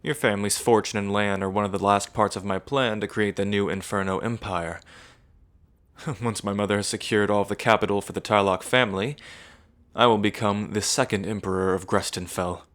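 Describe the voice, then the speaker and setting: A man's voice: lowish voice, steady tone, moderate pace, fairly bright tone, close to an everyday voice. A man playing a smug, menacing evil prince in an ASMR roleplay, mocking and condescending, drawling like a theatrical villain. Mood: smug mockery, then scornful menace.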